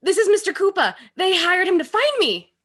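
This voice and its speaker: Sassy young woman for a comedic screenplay reading, acted by a woman, high-pitched, forward tone, emphatic. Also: sing-song, brisk, a bit bright, full character voice. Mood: excited. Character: sassy guarded young shop woman, Hildy.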